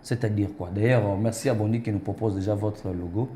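Male TV host, 30s, low warm voice, formal studio delivery, frequent "euh" hesitations. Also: steady tone, fairly quick, a little hiss or hum. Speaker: TV talk show host. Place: TV studio set.

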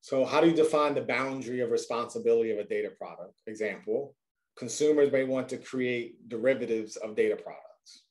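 A man's voice: medium pitch, brisk, darkish tone. A man in his forties talking conversationally and with confidence on a video call panel. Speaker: data leader panelist.

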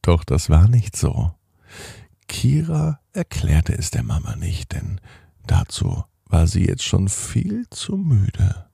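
A man narrating a children's bedtime story on a podcast in a slow, soothing manner that sinks to a whisper at the end. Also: sits low, huge pitch swings, vocal fry, dark tone, breathy edge, no room sound, studio quality.

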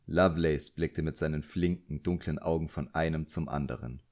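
Deep mellow guy reading a novel. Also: steady tone, moderate pace. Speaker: audiobook narrator. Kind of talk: scripted literary narration.

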